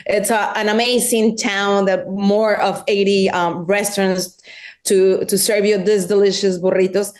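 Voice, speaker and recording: Woman, tourism presenter, enthusiastic, halting phrasing, mid pitch. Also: little pitch movement, darkish tone. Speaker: destination representative. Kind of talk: promotional panel presentation.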